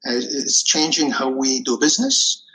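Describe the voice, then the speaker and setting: A man in his forties, born and raised in Iraq, answering interview questions in a casual, halting way with many fillers and restarts. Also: medium pitch, animated, sharp tone, rough recording. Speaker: podcast guest.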